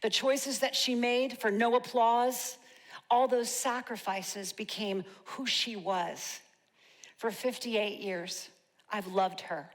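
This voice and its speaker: A woman in her fifties performing a rehearsed, rhyming spoken-word monologue with dramatic, deliberate pauses. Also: a little high, steady tone. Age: 50s. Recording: long hall reverb.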